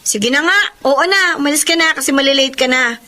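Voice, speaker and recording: A woman's voice: high voice, brisk, bright, forceful tone, a little hiss or hum. A woman with a Filipino accent playing a wheedling, teasing female relative in a radio drama.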